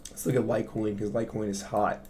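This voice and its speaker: Guy with a raspy voice talking crypto charts on a livestream, casual, keeps saying right?. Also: fairly low pitch, level tone, fast talker, a little mellow, a little hiss or hum. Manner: chatty, explains to viewers, asks "right?". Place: home studio. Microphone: studio mic.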